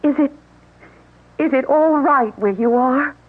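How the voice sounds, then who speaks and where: An actress playing a timid woman who tries, in a hesitant and halting way, to reach a dead man's spirit through a board, in a 1970s radio drama. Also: sits high. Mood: awed. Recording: tinny old radio broadcast.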